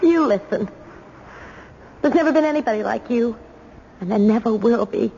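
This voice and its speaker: An actress playing a devoted, sorrowful yet resolute young woman, halting and heartfelt, in a radio drama. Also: on the high side, animated, gravelly, mellow. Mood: tender, devoted. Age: young adult. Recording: dull noisy old radio recording.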